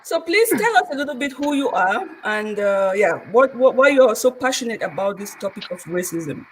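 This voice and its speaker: A woman's voice: a little high, big pitch swings, normal speed, slight background noise, low-quality recording. Effusive hesitant woman host. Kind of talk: conversational interview.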